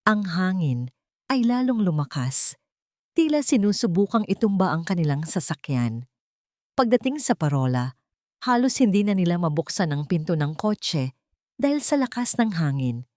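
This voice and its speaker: A woman's voice: on the low side, sing-song, normal speed, dark tone, no echo, studio quality. A woman reading a dramatic scripted story as an audiobook narrator.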